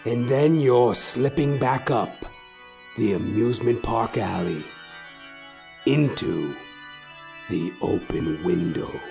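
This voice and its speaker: Narator horor pria, sangat lambat dan dramatis. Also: male voice, medium pitch, animated, gravelly, dark tone, soft background music. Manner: intense, theatrical, pausing between short phrases. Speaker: narrator. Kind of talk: dramatic second-person horror narration.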